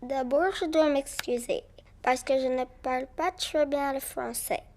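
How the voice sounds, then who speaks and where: Small polite girl critter in a french kids cartoon, high childlike voice. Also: measured pace, a little mellow. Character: polite little girl animal, Peppa's playmate.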